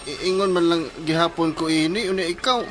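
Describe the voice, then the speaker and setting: An actor playing a proud, officious and hearty police chief in a radio drama, speaking emphatically with a Filipino accent. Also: male voice, fairly high pitch, fast talker, close to an everyday voice. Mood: admiring. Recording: music behind the voice.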